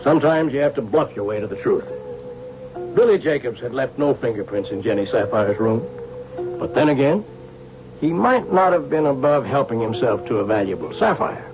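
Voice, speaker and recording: An actor playing a shrewd, persistent police inspector, dry, courteous and probing, in a radio drama. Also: male voice, medium pitch, big pitch swings, normal speed, music in the background. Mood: wry reflection. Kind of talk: radio drama acting and narration. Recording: dull, noisy old radio recording.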